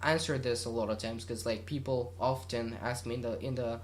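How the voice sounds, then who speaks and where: A man's voice: medium pitch, fairly even intonation, a little fast, slight background noise. A man from Bulgaria, a native Bulgarian speaker, hosting his own gaming channel and chatting loosely through viewer questions with lots of fillers and restarts. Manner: rambling, many fillers and restarts. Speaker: YouTube gaming channel host. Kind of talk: casual Q&A monologue.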